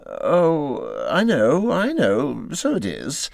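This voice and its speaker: A man's voice: on the high side, huge pitch swings, drawn-out words, creaky, no room sound, clean studio sound, voice pushed up, exaggerated voice. A man voicing a cautious Water Rat in a storybook, fussily reassuring and evasive. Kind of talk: storybook character voice.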